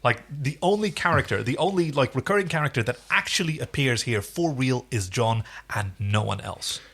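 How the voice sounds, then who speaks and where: A man's voice: mid-range voice, animated, moderate pace, gravelly, fairly bright tone, husky. A man co-hosting a podcast, casually recapping a TV episode with emphatic, repeated phrases. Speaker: podcast co-host.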